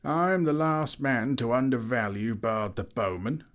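A man voicing a wary, pompous old town master who speaks loud and clear in his own defence. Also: medium pitch, measured pace, pitched above the performer's own voice, natural voice.

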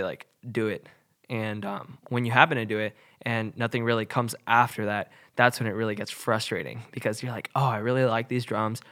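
A man's voice: medium pitch, fairly bright tone. A young man co-hosting a podcast, talking in a loose, rambling way with lots of fillers, a little nasal from a cold. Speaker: podcast co-host. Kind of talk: casual podcast chat.

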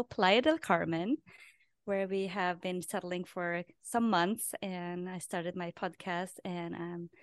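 Smooth-voiced woman from Norway. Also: on the low side, no echo. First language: Norwegian. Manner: earnest, repeats words as she restarts. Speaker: podcast guest, life purpose coach. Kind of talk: casual storytelling interview.

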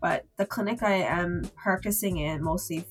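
A woman from Singapore, a guest acupuncturist, answering interview questions in a relaxed way with easy laughs. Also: fairly low pitch, normal speed, soft background music.

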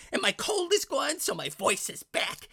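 A woman voicing an eager, showy middle-aged dad who loves to sing and brags of a rich baritone. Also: huge pitch swings, takes it slowly, gravelly, sharp tone, no room sound, clean studio sound, exaggerated voice. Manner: overeager, theatrical, giggly. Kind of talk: comedic show sketch.

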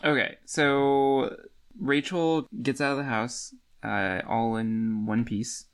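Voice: mid-range voice, flat delivery, takes it slowly, smooth. A podcast co-host in a casual book chat, recapping the plot in an informal, colloquial way.

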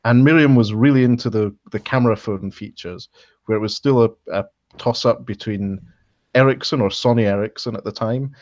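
A man's voice: fairly low pitch, a little fast, darkish tone, no echo. Hesitant male podcast host, dull lo-fi sound. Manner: friendly, hesitant, many "uh"s and restarts. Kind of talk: casual interview.

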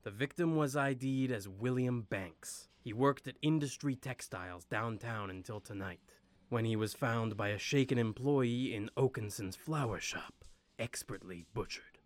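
A man's voice: mid-range voice, normal speed, lightly acted. A man playing a brusque, commanding police chief briefing detectives in an audio drama. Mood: grave, official. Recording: faint background noise and ambience.

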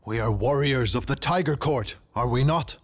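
A huge tiger fae king from a fantasy storybook, acted out by a man narrator. He is commanding and indignant, firing imperious questions in a loud voice pushed higher. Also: a little slow, creaky. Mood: indignant fury. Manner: imperious, booming rhetorical questioning.

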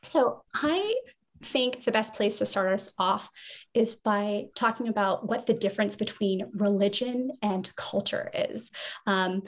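A woman from the United States hosting a podcast panel and explaining background facts at an even pace. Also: medium pitch, unhurried. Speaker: podcast host, occupational therapist.

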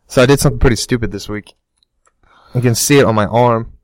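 A man's voice: mid-range voice, fast, a little mellow, dry recording. A young man chatting casually on a podcast, telling a story with self-mocking laughs. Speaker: podcast co-host. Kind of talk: casual chat.

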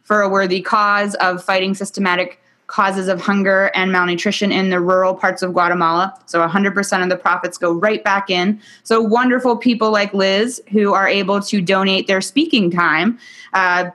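A woman's voice: mid-range voice, fairly even intonation, moderate pace, a bit bright. Upbeat woman hosting an interview. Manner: upbeat, promotional. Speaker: summit host.

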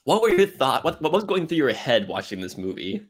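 Opinionated man, medium-pitched podcast voice. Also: big pitch swings, a little fast. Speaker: podcast host. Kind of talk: casual film discussion.

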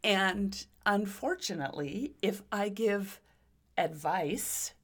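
A woman's voice: medium pitch, slow pace. A middle-aged woman in casual conversation, with a big voice, speaking earnestly and emphatically about herself and her adult children. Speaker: conversation partner. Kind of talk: casual chat.

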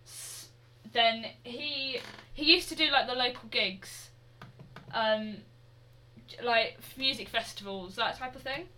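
A young woman with a bright, forceful voice pitched a little high chats casually. She giggles often and restarts her sentences as she co-hosts. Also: slow pace, a little hiss or hum. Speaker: podcast co-host. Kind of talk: casual chat.

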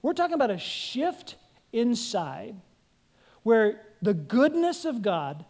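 A woman pastor preaching with earnest conviction. Her voice sits slightly high, and she often turns to the congregation with direct questions before pressing her point home. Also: big pitch swings, slow pace, a little mellow. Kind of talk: sermon.

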